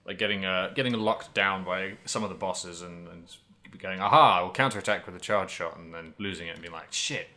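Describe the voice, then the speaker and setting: A man with a slightly low, forceful, bright voice talks as a podcast co-host. His delivery is casual and chatty, wandering as he thinks aloud about games. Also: brisk. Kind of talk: casual video game discussion.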